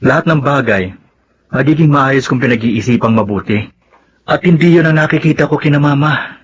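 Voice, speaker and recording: An actor playing a cautious, level-headed man in a radio drama, reasoning in a measured way. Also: mid-range voice, natural voice. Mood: earnest concern. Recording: dull, faintly noisy recording.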